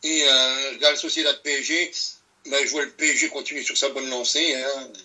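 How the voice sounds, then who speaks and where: A man's voice: medium pitch, fairly even intonation, moderate pace, pushed and bright, rough recording. A man co-hosting a casual football podcast, giving matter-of-fact predictions. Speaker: podcast co-host.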